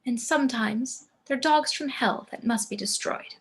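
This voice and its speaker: Woman as a husky, composed gothic mother. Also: high-pitched, unhurried, exaggerated voice. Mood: soothing. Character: gently macabre, composed, loving gothic wife and mother. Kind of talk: table read acting.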